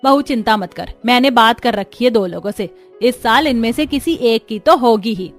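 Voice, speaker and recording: Animated grandmother, older, caring, plain-spoken mother-in-law, raised pitch, music bed actress plays her. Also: moderate pace, natural voice. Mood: teasing, blunt. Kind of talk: animated family story dialogue.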